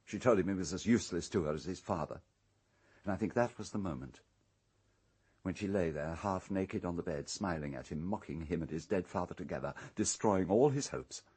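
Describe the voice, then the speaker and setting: An actor playing an urbane, calculating English island owner in a radio drama, speaking in a measured, precise, coolly unrepentant way. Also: male voice, low-pitched, animated, mellow, husky. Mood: grave recounting.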